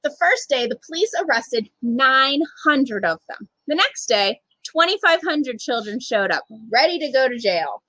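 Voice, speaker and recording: A woman's voice: high-pitched, big pitch swings, a little slow, hardly any pauses, bright, forceful tone, no echo, rough recording. A woman reading a children's picture book aloud expressively as a storytime reader. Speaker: storytime reader, literacy program manager.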